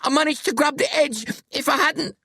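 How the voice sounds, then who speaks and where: A terrified young boy as a narrator acts him out, pitched very high and breaking into gasps, sobs and shrieks. It is dramatic storybook acting with a dry sound. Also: brisk, sharp tone, no room sound. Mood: panicked, gasping. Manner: shrieking, gasping, sobbing. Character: panicky, tearful, frightened boy in peril.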